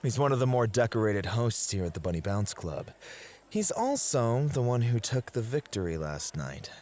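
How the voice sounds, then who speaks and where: A man's voice: mid-range voice, big pitch swings, normal speed, lightly acted. A man playing an affectionate, courteous club host in a romantic ASMR roleplay, sweet-talking and polite. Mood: polite, teasing. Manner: sweet-talking, polite, soft laughs and sighs. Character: affectionate, courteous male club host, gently possessive, motivated rival.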